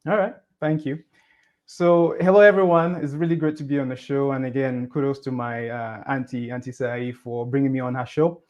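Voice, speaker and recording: A man, a podcast guest, telling his life story in a casual, animated way, pushing his voice up on the points he stresses. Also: mid-range voice, normal speed, dull audio. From Cameroon.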